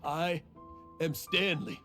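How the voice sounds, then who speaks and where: An actor playing a weary, guilt-ridden old drunk adventurer in a video game, brooding and melodramatic with halting delivery. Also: male voice, fairly high pitch, fairly even intonation, bright, forceful tone. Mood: grandiose, haunted. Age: older. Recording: game dialogue under music.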